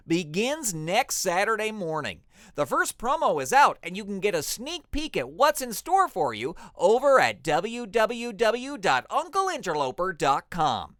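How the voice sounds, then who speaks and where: A man's voice: sits high, a bit bright, dry recording, clean studio sound. A man hosting a podcast, reading scripted announcements in an upbeat show-host style.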